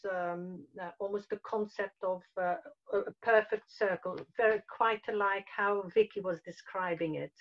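A woman's voice: mid-range voice, fairly even intonation, moderate pace, few pauses. A woman in her fifties on a video call, describing her thoughts hesitantly and searching for words. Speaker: participant. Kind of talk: hesitant casual chat. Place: small room at home. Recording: dull lo-fi call audio.

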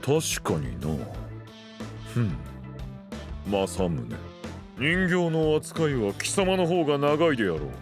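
A cold, commanding warlord speaks with haughty slowness. The delivery swings widely in pitch, in the style of an audio drama. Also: male voice, huge pitch swings, over music, full character voice. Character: imperious, cold warlord who rules from the top. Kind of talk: Japanese voice drama acting.

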